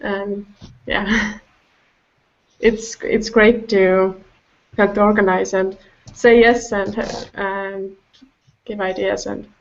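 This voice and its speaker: A woman talking casually and haltingly as a podcast guest. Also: medium pitch, little pitch movement. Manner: halting, hesitant. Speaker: podcast guest, festival helper. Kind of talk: hesitant casual talk. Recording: dull lo-fi recording.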